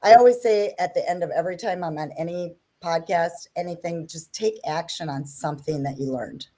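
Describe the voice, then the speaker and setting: Lowish woman's voice, podcast guest, keen and encouraging. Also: fairly quick, warm tone. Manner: encouraging, enthusiastic agreement. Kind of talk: casual podcast chat.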